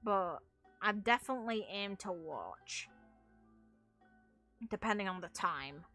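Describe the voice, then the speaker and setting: A woman's voice: mid-range voice, lively intonation, slow pace, sharp tone. A woman chatting casually on a livestream as a game streamer, giving her opinions in an emphatic, opinionated way. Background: music.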